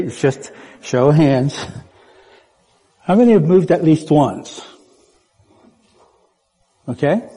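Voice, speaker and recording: A man's voice: a little high, mellow. A man born in Puerto Rico preaching as a guest speaker in a church, chatty and playful, putting questions to the congregation. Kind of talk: sermon. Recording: church hall with long reverb.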